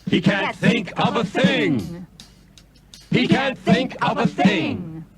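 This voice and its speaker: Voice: mid-range voice, wildly swooping pitch, slow pace. A singer delivering repeated refrains in a children's musical story chorus. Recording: loud music and drums.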